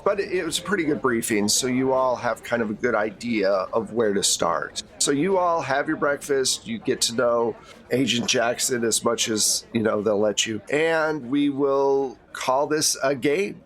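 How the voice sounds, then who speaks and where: A man speaking as game master and host of a tabletop game, narrating and explaining off the cuff in a casual way. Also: mid-range voice.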